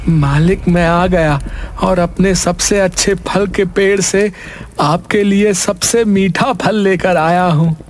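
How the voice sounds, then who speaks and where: Male actor as meek fairy-tale villager: high voice, slow, deferential, faint noise behind. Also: fairly even intonation. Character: humble, pleading villager, obedient father.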